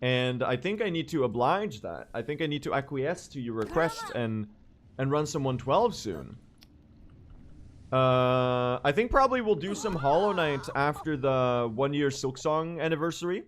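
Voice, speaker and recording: Hoarse young man chatting to his stream. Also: medium pitch, normal speed, slight background noise. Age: young adult. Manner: excitable, rambling, addresses chat directly. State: hoarse, worn-out voice. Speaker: speedrun streamer. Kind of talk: casual livestream chat to viewers.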